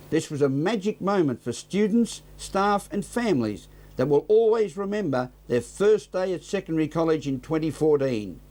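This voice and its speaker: An Australian man reading scripted news as a presenter in a measured, formal manner. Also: sits high, mellow.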